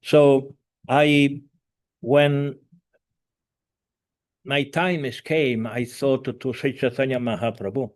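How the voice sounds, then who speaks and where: Earnest halting man in his seventies. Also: medium pitch, fairly even intonation. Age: 70s. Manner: earnest, halting, with many fillers, restarts and non-native grammar. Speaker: spiritual teacher. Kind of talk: informal talk by video call. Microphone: video call.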